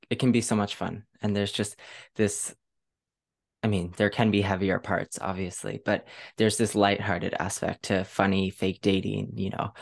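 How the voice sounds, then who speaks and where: A woman hosts a casual chat in a low voice with a lot of creak. She sounds enthusiastic and informal, letting her sentences run loosely from one thought to the next. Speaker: podcast host.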